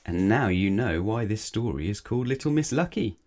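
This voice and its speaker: A man's voice: fairly low pitch, lively intonation, moderate pace, a little mellow. A man reading a children's bedtime story aloud, dramatically, stretching and stressing words. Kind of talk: expressive read-aloud bedtime narration.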